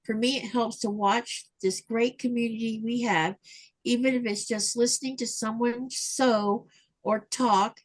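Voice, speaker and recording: A woman hosting a home craft livestream talks in a relaxed, chatty way, full of ums and uhs. Her voice sits a little high. She reads viewer comments aloud and adds her own remarks. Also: measured pace, rough recording. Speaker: livestream host reading chat. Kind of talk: casual chat. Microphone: livestream mic.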